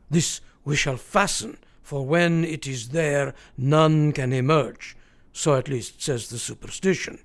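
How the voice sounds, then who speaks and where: An actor playing an earnest, commanding old professor in a radio play, with formal, deliberate, instructive speech. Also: male voice, on the high side. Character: earnest, commanding old male professor leading vampire hunters.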